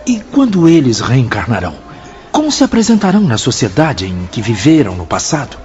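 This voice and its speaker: An actor in a radio drama playing a curious visitor to the spirit world, asking formally. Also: male voice, medium pitch, big pitch swings, brisk, a little mellow, natural voice. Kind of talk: Brazilian radio drama acting. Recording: dull lo-fi audio, faint music.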